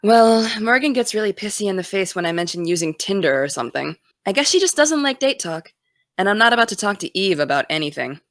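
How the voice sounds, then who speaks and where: A woman's voice: medium pitch, lively intonation, quick pace, a bit bright, dry recording, clean studio sound. A woman playing a driven, distracted college-bound young woman in an audio drama, speaking casually and wryly. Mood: casual, guarded. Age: young adult. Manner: casual, wry. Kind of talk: naturalistic audio drama dialogue.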